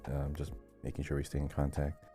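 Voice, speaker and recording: A man's voice: very deep voice, completely flat delivery, fairly quick, mellow, faint music behind. A man from Hawaii co-hosting a podcast in casual, conversational talk. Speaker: podcast co-host.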